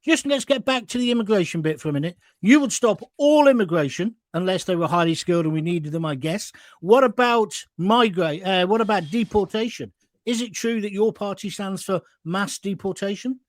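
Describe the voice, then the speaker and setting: A middle-aged English host with a high-pitched voice and a dry, close sound. He interviews bluntly, challenging his guest with a jokey edge. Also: expressive, a little fast, dry recording. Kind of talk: combative political interview.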